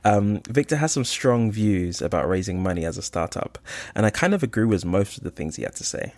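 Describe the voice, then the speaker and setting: A man hosting a podcast, reading a lively intro and then interviewing a guest in a friendly, eager way. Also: lowish voice, a little fast. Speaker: podcast host.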